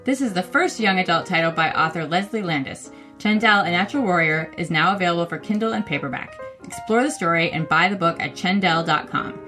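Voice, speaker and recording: A woman reading a scripted sponsor ad in a smooth, even delivery. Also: fairly low pitch, fairly quick, few pauses. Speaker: sponsor announcer. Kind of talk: scripted ad read. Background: music.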